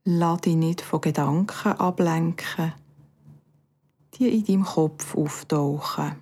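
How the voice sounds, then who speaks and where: A woman speaks Bernese Swiss German in a low, mellow voice, leading a guided relaxation. Her delivery is very unhurried and soothing, with long pauses between short instructions. Phrases often trail off softly into breath. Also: steady tone, slightly rough. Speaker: yoga nidra instructor. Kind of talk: guided meditation.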